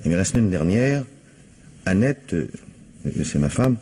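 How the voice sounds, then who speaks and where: A man's voice: sits low, sing-song, measured pace, mellow, a little hiss or hum. An actor playing an anxious, evasive middle-aged bourgeois businessman in a radio mystery, hesitant, stammering and deferential. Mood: worried, hesitant. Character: flustered, anxious, evasive 42-year-old bourgeois businessman husband. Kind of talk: radio mystery drama acting.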